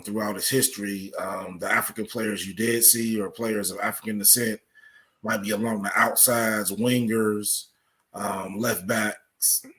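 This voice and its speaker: A man with an American accent speaking as a researcher guest in a podcast interview, explaining things in a measured way with examples. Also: fairly low pitch, a bit bright.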